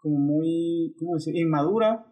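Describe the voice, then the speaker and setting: A man with a Mexican accent, talking in a slightly high voice. He explains things in a loose, rambling way and slips jokes in as he goes, like a co-host in a casual podcast chat. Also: moderate pace. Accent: Mexican Spanish. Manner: relaxed, rambling, joking explainer.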